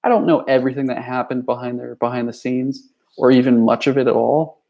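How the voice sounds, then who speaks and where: A young man talks off the cuff, his voice gravelly with a rough edge. He wanders from thought to thought, stacking fillers and false starts like someone chatting on a livestream. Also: medium pitch, steady tone, fairly quick, dark tone. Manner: rambling, many fillers and restarts; reads viewer chat messages aloud. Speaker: youtuber. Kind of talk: casual livestream chat.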